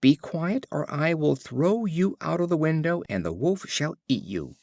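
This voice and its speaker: A man plays a cottage mother in a storybook fable, firm and protective with a child. The pitch swings up and down a great deal. The recording is dry and echo-free. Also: very animated, measured pace, creaky, darkish tone, heavily acted. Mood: scolding threat. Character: cottage mother, scolding then fondly protective.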